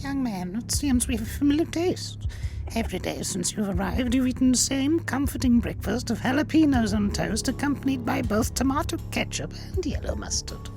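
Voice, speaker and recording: A woman's voice: on the high side, expressive, moderate pace, poor audio. A woman playing a stern, aloof older prison cellmate, speaking formally in a comic audio drama. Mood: solemn. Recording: music behind the voice. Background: music.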